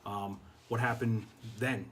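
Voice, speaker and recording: Low-voiced American man over background noise. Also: fairly even intonation, slow pace. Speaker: guest, fire chief. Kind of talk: casual interview talk. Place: podcast studio, indoors. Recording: clear background noise, room ambience.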